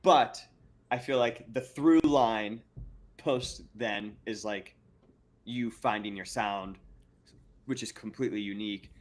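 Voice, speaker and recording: A man's voice: on the low side, takes it slowly, pauses often. A man hosting a casual interview, rambling and stammering, often breaking into laughs. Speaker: podcast host.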